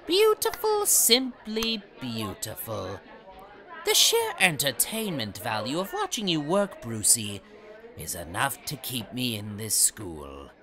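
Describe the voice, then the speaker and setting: Manic, theatrical teenage villain, wildly swooping. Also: male voice, mid-range voice, wildly swooping pitch, slow pace, sharp tone, exaggerated voice. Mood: mocking glee. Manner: theatrical, sneering, sarcastic. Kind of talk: audio drama acting.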